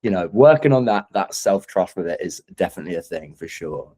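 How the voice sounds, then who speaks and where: A man's voice: sits low, expressive, a little fast, mellow, slightly rough. A man who is a singer-songwriter guest in a casual interview, speaking in a hesitant, thoughtful, self-deprecating way.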